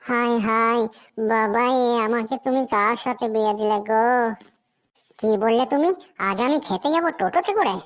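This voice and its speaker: Woman acting a high-pitched nagging cartoon wife, rural Bengali dialect. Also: moderate pace, natural voice. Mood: scolding. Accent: rural western Bengal Bengali dialect. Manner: scolding, theatrical. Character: nagging, demanding, gullible wife in a comedy cartoon. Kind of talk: comic cartoon dialogue.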